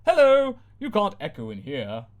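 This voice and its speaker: A man's voice: mid-range voice, sing-song, moderate pace, a bit bright. A man voicing a pompous, huffy female villain in a parody story reading. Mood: snippy. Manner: huffy, self-important.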